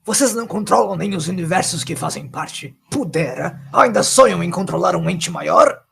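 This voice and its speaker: A man's voice: high-pitched, lively intonation, moderate pace, gravelly, pushed and bright, slight background noise, pitched above the performer's own voice, exaggerated voice. A man voicing a haughty, scornful king in an audio story, with a mocking manner. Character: scornful male king of a universe.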